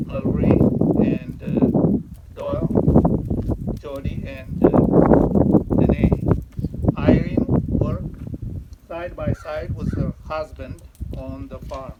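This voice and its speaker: Man, slow deliberate reading with pauses, heavy background noise. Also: a little high, distant mic, highs cut off. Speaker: family member reading an obituary. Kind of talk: reading aloud.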